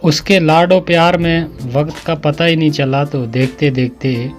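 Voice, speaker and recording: A man's voice: on the high side, fairly even intonation, unhurried. A man narrating a scripted moral tale as a storyteller. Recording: music behind the voice.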